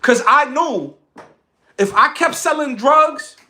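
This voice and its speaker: Man, high pitch, bright forceful tone, emphatic livestream monologue, declamatory, repeated phrases. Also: expressive, takes it slowly, slight background noise. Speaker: social media content creator on a livestream. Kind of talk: impassioned livestream monologue to followers.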